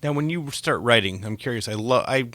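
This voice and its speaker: A man's voice: medium pitch, fairly quick, bright, forceful tone, no room sound. A man hosting an interview show, talking in a casual, chatty and informal conversational way.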